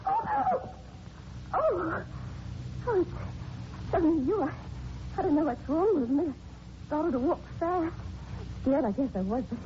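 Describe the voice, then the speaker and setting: A young working-class London sweetheart from a 1940s radio play, very high and swooping in pitch. The recording is muffled and noisy. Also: female voice, sing-song, unhurried, pauses often, exaggerated voice. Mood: frightened. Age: young adult. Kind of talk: 1940s radio drama acting.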